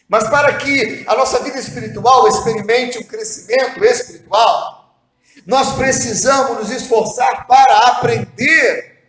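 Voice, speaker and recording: A high-voiced man preaches slowly and deliberately, leaning on earnest rhetorical questions to his listeners. His voice rings out in an echoey church room. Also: fairly bright tone. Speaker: pastor. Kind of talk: devotional sermon. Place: church hall.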